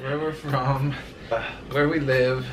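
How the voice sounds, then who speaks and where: A man's voice: medium pitch, little pitch movement, slow pace, music in the background. Joking man in his forties. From Cincinnati, Ohio, US. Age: 40s. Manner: short joking interjections. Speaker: co-host husband. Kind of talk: casual chat to camera. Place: indoor room at home.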